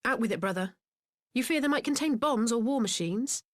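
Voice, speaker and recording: An actress playing a forthright, sharp-minded young woman in a dramatic game scene, speaking directly and challengingly. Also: on the high side, animated, fairly quick, no echo, studio quality, lightly acted. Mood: impatient.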